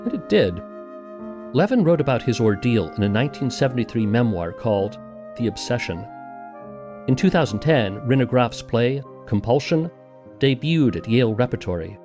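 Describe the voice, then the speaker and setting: A man's voice: mid-range voice, big pitch swings, moderate pace. A man hosting a podcast, reading scripted documentary narration. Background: music.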